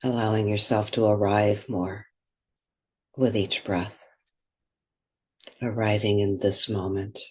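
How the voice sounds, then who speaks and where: A woman leading a guided meditation in gentle, unhurried phrases with pauses. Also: rumbling low, level tone, slightly rough.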